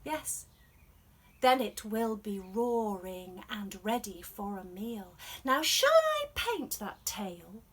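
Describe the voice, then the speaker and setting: A woman's voice: mid-range voice, very animated, takes it slowly, a bit bright, husky, heavily acted. A woman voicing a poor but steadfast girl painter in a storybook, polite and composed. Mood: teasing, bold.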